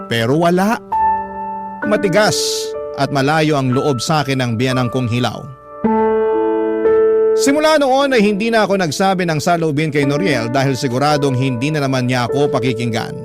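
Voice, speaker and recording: A Filipino man narrates a radio drama story in a slightly high male voice. Loud music plays behind his scripted, dramatic reading. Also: lively intonation, moderate pace.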